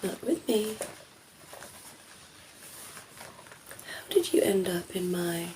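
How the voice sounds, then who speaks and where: A woman voicing a ditzy, flirty female vampire in an ASMR roleplay, speaking softly and breathily, often in a whisper, with a coy, teasing manner. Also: medium pitch, big pitch swings, a little hiss or hum, natural voice, poor audio. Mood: soothing, flirty. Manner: slow, teasing, coy, giggly.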